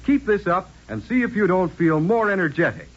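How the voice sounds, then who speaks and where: A dull vintage radio recording carries a male commercial announcer. His somewhat high voice is rough and husky, with highly animated intonation. He reads scripted 1940s advertising copy in an emphatic, instructional way. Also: brisk. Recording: muffled old radio recording.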